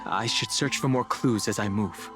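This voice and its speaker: An actor playing a calm, reserved young man in a fantasy video game, speaking in a measured, thoughtful way. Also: lowish voice, lightly acted. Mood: pensive, measured. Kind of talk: scripted video game voice acting. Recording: long reverb, music behind. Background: game music.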